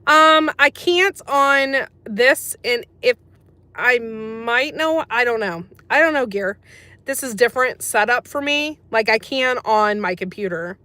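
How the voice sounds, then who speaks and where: A woman's voice: fairly high pitch, lively intonation, measured pace, bright, forceful tone, no echo. A woman from America hosting a casual livestream, chatting about herbal remedies and answering live chat messages with frequent laughs. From USA. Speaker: livestream host.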